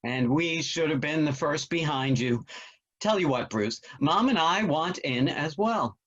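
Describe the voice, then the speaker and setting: A man's voice: fairly high pitch, animated, a little slow. A man acting in a play reading as an older father, skeptical but supportive, with a dry, jocular manner. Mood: jovial, wry. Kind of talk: virtual stage play reading. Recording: dull, lo-fi call audio.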